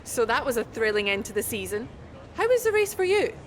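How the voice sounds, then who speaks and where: Race reporter in a game, polite and professional, highish voice, bit of outdoor noise. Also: female voice, sing-song, brisk, rough recording. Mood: upbeat, probing. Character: polite, probing female motorsport TV reporter. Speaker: interviewer. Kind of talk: scripted game cutscene interview.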